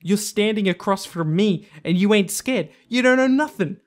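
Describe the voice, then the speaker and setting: A man's voice: high-pitched, expressive, moderate pace. A young man giving casual, slangy video game commentary as host, emphatic and enthusiastic. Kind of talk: casual top-ten commentary.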